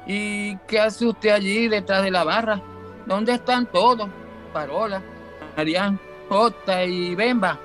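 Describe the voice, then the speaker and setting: A man's voice: sits high, slow, fairly bright tone, soft background music. A man playing a weary, formal bar owner just freed from prison, in a radio drama. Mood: wary, puzzled.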